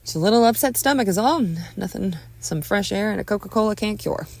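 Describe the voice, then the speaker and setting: A woman's voice: mid-range voice, expressive, a little fast, gravelly, a little mellow, pitched above the performer's own voice. A woman voicing a sweet, easygoing older male doctor, folksy and reassuring in a dramatic script reading.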